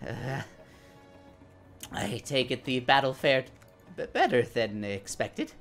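A man's voice: mid-range voice, animated, slow pace, fairly bright tone, soft background music. A man voicing a nervous little gnome tinkerer who stammers and repeats his words. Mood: flustered.

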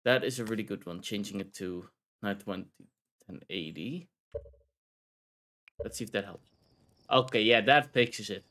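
A man's voice: on the low side, normal speed, pauses often, a bit bright. A man from the Netherlands with a Dutch accent, chatting casually and rambling while streaming a video game, laughing often.

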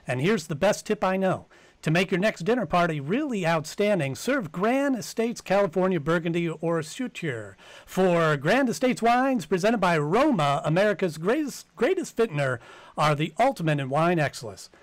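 A man's voice: high-pitched, sing-song, moderate pace, no echo. An older man announcing in old-time radio style, delivering formal, emphatic sponsor reads from a home office. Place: home office, on a video call.

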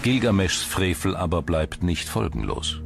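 A man reading scripted documentary narration in a measured, dramatic storytelling manner. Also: low voice, big pitch swings, gravelly. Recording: music bed behind the voice. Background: music.

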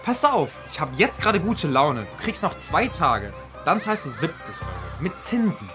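A man's voice: fairly high pitch, big pitch swings, moderate pace, over music. A man playing a bossy, mocking schoolboy bully in a children's audio drama, curt and sneering. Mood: threatening.

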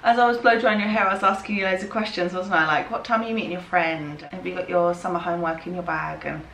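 English mum chatting, noisy home recording. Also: female voice, lowish voice, steady tone, fast talker, vocal fry, a bit bright. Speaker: family vlogger mother. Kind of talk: casual chat with her children. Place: family home, rooms and garden. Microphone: camera microphone. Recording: noisy handheld home camera audio.

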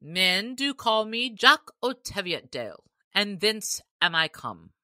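A woman voicing a proud, gruff male archer in disguise, speaking roughly in archaic storybook English. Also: medium pitch, very animated, slow, bright, forceful tone, no echo, studio quality, pitched above the performer's own voice, full character voice. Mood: plain, proud. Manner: rough, defiant, archaic speech.

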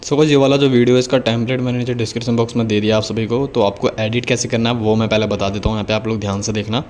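Fast-talking man, flat tutorial delivery. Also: medium pitch, music in the background. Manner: instructional, repetitive step-by-step explaining. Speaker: video editing tutorial host. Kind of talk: casual screen-recorded tutorial voice-over.

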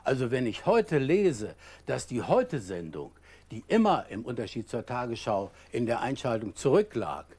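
Man in interview, lively intonation. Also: medium pitch, normal speed, faint music behind. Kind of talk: interview statement.

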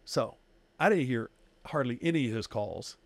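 Man in his thirties, excitable podcast co-host, mid pitch, dry close mic. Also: moderate pace, gravelly, dry recording. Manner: animated, laughs easily, excitable retelling. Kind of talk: casual chat. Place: dark podcast studio. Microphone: desk podcast mic.

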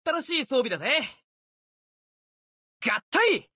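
A man voicing a brash, boastful young sword warrior for an anime-style game, youthful and husky-edged, with cocky, casual boyish speech. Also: very high-pitched, wildly swooping pitch, slow, no room sound, clean studio sound, heavily acted. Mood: upbeat, confident. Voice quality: youthful, bright, husky edge.

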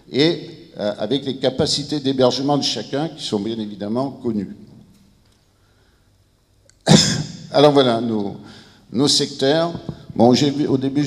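A man in his fifties or sixties gives a formal but halting presentation as an official, searching for words, on a handheld microphone. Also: medium pitch, a little mellow. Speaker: civil protection director presenting at a conference. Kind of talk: formal public presentation, semi-improvised. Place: large indoor conference room with seated audience. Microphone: handheld microphone held near the mouth. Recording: reverberant hall sound over handheld microphone.

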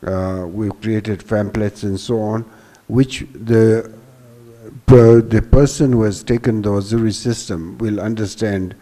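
A man in his sixties speaks as a business panelist in a low, dark, relaxed voice. He explains step by step in a halting manner, often restarting words, into a handheld microphone. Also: big-room sound. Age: 60s. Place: hall stage before a seated audience. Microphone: handheld microphone held at the chest.

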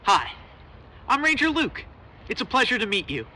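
A man's voice: extremely high pitch, sing-song, moderate pace, very noisy. A young man playing a chipper, reassuring park ranger in a children's educational show. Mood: friendly, reassuring. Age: young adult.

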